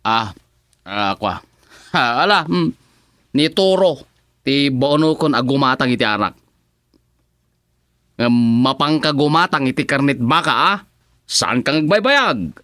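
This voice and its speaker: A man's voice: expressive, measured pace, pauses often, pushed and bright, no echo, clean studio sound. A man with an Ilocano accent playing a boastful middle-aged village councilman and husband in a radio comedy, exclaiming and chuckling as he talks. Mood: bossy. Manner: exclaiming, chuckling, swinging pitch.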